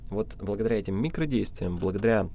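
A man giving informal motivational advice as a podcast coach, halting and repeating himself, often checking in with the listener. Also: on the low side, lively intonation, gravelly, warm tone, a little hiss or hum.

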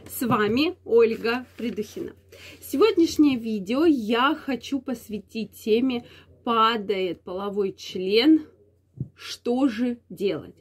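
A woman's voice: fairly high pitch, slow pace. A woman hosting an advice vlog, explaining things to viewers in a repetitive, emphatic way, her voice affected by a slight cold. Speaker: channel host giving advice. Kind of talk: explanatory talk to camera for viewers.

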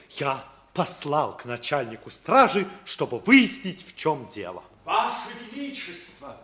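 High-voiced deferential royal servant. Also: male voice, a bit bright. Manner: deferential, theatrical. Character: obsequious royal servant reporting to his king. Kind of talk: Soviet radio play acting.

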